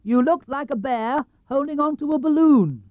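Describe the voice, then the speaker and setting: A man's voice: extremely high pitch, normal speed, dry recording, voice pushed up. A man voicing a sensible, helpful young boy with simple, plain phrasing in a storybook reading. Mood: cheering, honest. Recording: muffled vintage cassette or LP sound.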